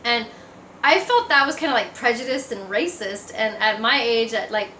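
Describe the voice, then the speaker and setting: A woman's voice: on the high side, lively intonation, moderate pace, bright, forceful tone. A woman giving a personal testimony in a casual, conversational storytelling way. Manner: conversational, acts out remembered remarks. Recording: echoey room, faint background noise.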